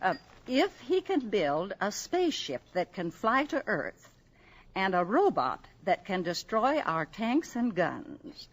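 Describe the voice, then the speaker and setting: A woman's voice: mid-range voice, very animated, takes it slowly. A flustered, chatty landlady in an old radio play. Her voice rises and falls a great deal as she fusses. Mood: reading aloud. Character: fussy talkative woman landlady. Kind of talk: 1950s radio drama acting.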